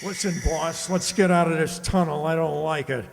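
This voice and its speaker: A man's voice: a little high, fairly even intonation, normal speed, vocal fry. A man playing a nervous, loyal gangster henchman in a radio drama, with blunt, anxious tough-guy talk. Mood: uneasy. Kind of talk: old-time radio drama acting. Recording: hollow reverb with background noise.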